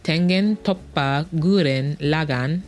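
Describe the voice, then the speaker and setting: A woman with a low voice hosts a chatty podcast over faint music. She talks casually and playfully, with plenty of slang. She often cuts into her own sentences and repeats words to make a point. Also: slow pace, a little mellow. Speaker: podcast host, writing coach. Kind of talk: casual, chatty solo podcast answering listener-style questions.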